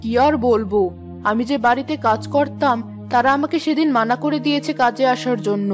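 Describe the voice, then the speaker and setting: Woman as a weary cartoon mother, high-pitched, worried, resigned, faint music behind. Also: fairly quick, lightly acted. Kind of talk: children's cartoon voice acting.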